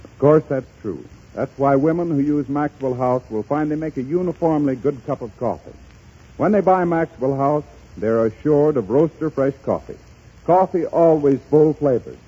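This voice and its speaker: A man's voice: a little high, moderate pace, breathy edge. A man working as an announcer, reading scripted advertising in a 1930s radio style. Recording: muffled 1930s radio recording.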